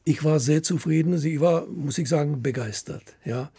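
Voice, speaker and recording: A man with a husky, gravelly voice giving interview recollections as an author, haltingly, often ending phrases with tag questions. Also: fairly high pitch, warm tone, no echo. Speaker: author of radio plays, guest.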